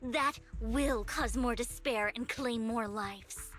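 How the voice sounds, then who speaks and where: An actress playing an earnest, resolute young heroine in an anime video game, speaking firmly with a pleading edge. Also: female voice, fairly high pitch, slow pace, fairly bright tone. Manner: firm, pleading, earnest delivery. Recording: music behind the voice.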